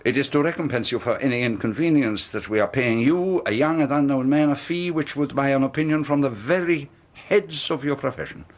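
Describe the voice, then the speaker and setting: An actor playing a menacing secretive colonel. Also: male voice, medium pitch, brisk, few pauses, natural voice. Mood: persuasive. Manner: smooth, insistent, quietly threatening. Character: sinister secretive colonel, middle-aged man, menacing. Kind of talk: 1980s radio drama acting.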